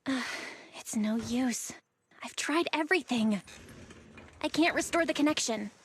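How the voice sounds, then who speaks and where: A woman voicing a perky, quick-witted young tech operator in an anime-style game, teasing yet decisive. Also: high-pitched, slight background noise. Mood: alert, urgent.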